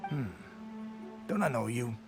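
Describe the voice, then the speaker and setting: A drowsy, easygoing soldier who keeps to himself, from a video game. He talks slowly and languidly with wide swings of pitch, over faint music. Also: expressive, creaky. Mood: drowsy, content. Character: sleepy laid-back male soldier, quiet loner.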